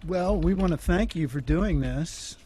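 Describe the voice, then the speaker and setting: A man's voice: fairly high pitch, animated, unhurried, warm tone, slight background noise. A man guest on a podcast, speaking hesitantly and trailing off.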